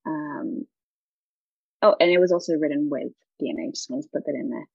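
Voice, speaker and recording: A woman's voice: low-pitched, a little fast, creaky, darkish tone, breathy edge, no room sound, dull audio. A young woman with an Australian accent, a singer chatting in an interview, bubbly and rambling with lots of giggles.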